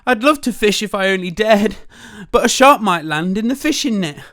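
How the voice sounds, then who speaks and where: A woman's voice: mid-range voice, animated, normal speed, a bit bright, pitched above the performer's own voice, lightly acted. A woman voicing a timid, wimpy male cook who is scared of everything, fretful and wailing, in a children's storybook. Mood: panicky, scared. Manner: fretful, wailing delivery with repeated pleas.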